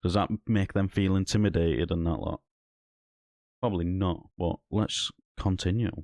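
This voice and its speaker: Low-voiced man commenting. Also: fairly even intonation, normal speed, a little mellow, a little hiss or hum. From Hull, East Yorkshire. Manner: critical, explanatory, speaking to viewers. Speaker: commentator reviewing an audit video. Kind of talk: reaction commentary.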